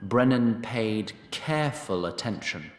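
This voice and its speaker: A man narrating a scripted fantasy story in a measured, dryly wry manner. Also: fairly low pitch, expressive, reverberant.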